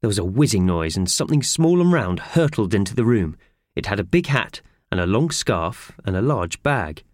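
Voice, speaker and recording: A man's voice: lowish voice, sing-song, normal speed, no room sound, clean studio sound. A man reading a children's story as a scripted audiobook narration.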